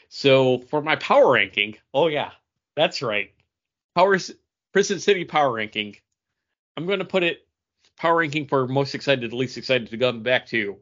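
Male podcast host, bright and punchy tone, rambling casual banter, lo-fi audio. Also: medium pitch, expressive, moderate pace, long pauses.

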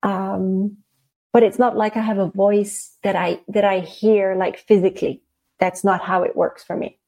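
Lady with a soft mellow voice, casual guest telling her story, kinda halting but animated. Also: medium pitch, creaky. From Netherlands. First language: Dutch. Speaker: interview guest, spiritual channeler.

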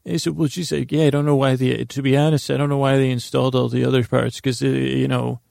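A man hosting a sleep podcast, rambling through a halting bedtime monologue full of restarts and drawn-out pauses. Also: mid-range voice, level tone, gravelly, darkish tone, dry recording, clean studio sound.

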